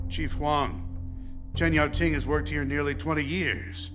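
A man's voice: fairly high pitch, fairly even intonation, measured pace, music in the background, close to an everyday voice. A man playing a respectful, earnest office boss in a dubbed stage drama, deferential in manner. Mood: deferential, reassuring. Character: respectful male office boss vouching for employee.

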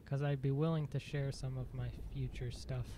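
A man streaming a game, chatting casually in a dry, monotone voice, rambling with fillers and self-corrections. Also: mid-range voice, normal speed, background noise. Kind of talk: casual live-stream chat.

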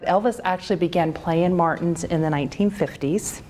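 A woman in her thirties presenting items for an auction in a measured, informative way, with occasional fillers. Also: lowish voice, slight background noise. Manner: steady, measured, lightly hesitant with fillers. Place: conference room.

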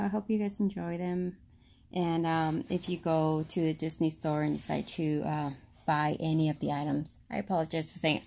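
A woman's voice: medium pitch, a little mellow. A woman casually showing items for a vlog, talking in a hesitant, rambling way in a carpeted room at home. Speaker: shopping haul vlogger. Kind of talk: casual narrated show-and-tell.

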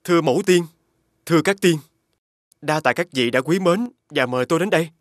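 An actor playing a polite, poetic, homesick young scholar in an animated fairy tale. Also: male voice, a little high, brisk, no room sound, studio quality, lightly acted. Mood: respectful. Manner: polite, formal.

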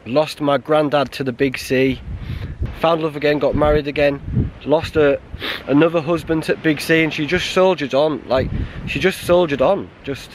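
English man, 30s, hiking vlogger; subdued, halting talk to a handheld camera outdoors, faint wind noise. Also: a little high, steady tone, low-quality recording. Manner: subdued, halting and grieving, with many restarts. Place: outdoors on a windy winter mountain, sheltering behind a stone wall. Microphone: camera held close at arm's length.

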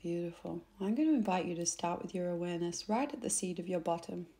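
A woman guiding a meditation in a soft, gentle, unhurried way, with phrase endings that turn breathy. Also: fairly low pitch, animated. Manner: gentle, unhurried, instructing softly with pauses. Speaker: meditation guide. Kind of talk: soothing guided meditation narration.

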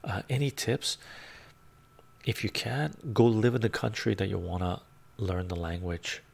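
A man's voice: lowish voice, measured pace, gravelly. A middle-aged man whose first language is Romanian, chatting casually on a live stream from a room lined with bookshelves, with a rambling manner and frequent "right?" asides, speaking into a lapel mic. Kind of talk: casual live-stream chat, reading comments. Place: home room full of bookshelves.

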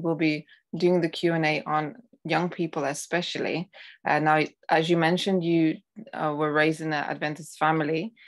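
Halting low-voiced woman interviewer. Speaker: interviewer, host. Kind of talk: informal interview introduction. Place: room indoors, on a video call.

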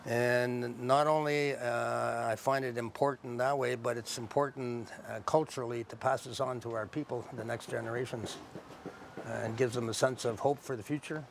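A middle-aged man explaining his craft informally, in a plain-spoken, halting, reflective way, as a traditional builder. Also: mid-range voice, steady tone, vocal fry, slight background noise. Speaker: traditional canoe builder and knowledge keeper. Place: outdoors under a park tent.

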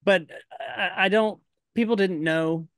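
A man's voice: high-pitched, slow, fairly bright tone, dry recording, clean studio sound. A man co-hosting a podcast in casual chat, rambling and laughing often, swearing casually. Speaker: podcast co-host.

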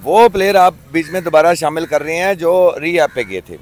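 A middle-aged club cricketer from Pakistan giving his views outdoors in a casual interview, earnest and often pausing on fillers. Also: on the high side, lively intonation. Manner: earnest, many hesitation fillers. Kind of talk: opinionated casual talk. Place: outdoors by a park road. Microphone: handheld mic.

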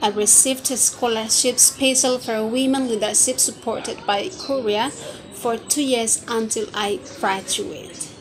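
Woman in her 20s, Indonesian accent, slightly high voice, measured list-like delivery, lapel mic, open-air pavilion. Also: fairly even intonation, rough recording. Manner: careful, list-like, rehearsed delivery. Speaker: job-seeking student. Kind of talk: recited self-presentation for a video CV. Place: open-air wooden pavilion among trees.

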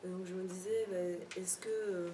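A woman's voice: medium pitch, fairly even intonation, normal speed, warm tone. A young woman, a student interviewer, asking a prepared question, partly read and then trailing into fillers. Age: young adult. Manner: reads and paraphrases, then falters on fillers. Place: bookshop event room, indoors. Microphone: table mic on a stand. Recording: echoey room, distant mic, background noise.

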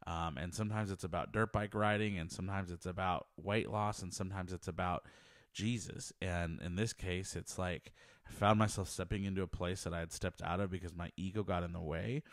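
A man's voice: low-pitched, fairly even intonation, brisk, no echo. A man in his thirties hosting a podcast from a small home office, talking earnestly into a close handheld mic, rambling and restarting his sentences. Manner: earnest, rambling, repeats words and restarts often. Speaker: podcast host. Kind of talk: personal faith podcast monologue. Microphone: handheld dynamic mic close to mouth.

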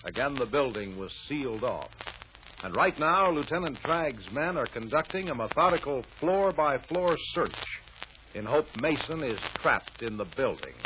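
A man narrating a radio serial as its announcer, suspenseful and emphatic, with deliberate pauses. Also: mid-range voice, big pitch swings. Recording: dull, noisy old radio recording.

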